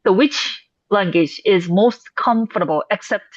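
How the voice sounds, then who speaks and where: A dry, slightly muffled recording of a woman with a mid-pitched voice. She is a native Japanese speaker chatting casually from the audience at a talk. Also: a little slow, no echo. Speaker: audience member asking questions. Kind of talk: casual chat in English.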